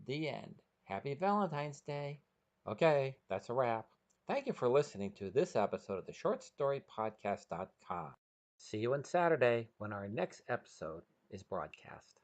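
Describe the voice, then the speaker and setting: A man's voice: medium pitch, very animated, moderate pace, lo-fi sound. A man hosting a podcast and narrating a short story from a script.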